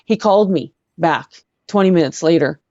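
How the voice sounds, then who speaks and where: A middle-aged woman with a Canadian accent, telling personal stories as an interview guest in an earnest, halting way. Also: fairly low pitch, expressive, mellow, dry recording, highs cut off. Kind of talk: personal storytelling in conversation.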